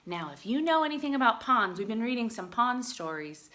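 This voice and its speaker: A woman reading a picture book aloud to young children in an expressive way, adding chatty explanations and questions for her listeners. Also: on the high side, fairly quick. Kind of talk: animated read-aloud with chatty asides and questions.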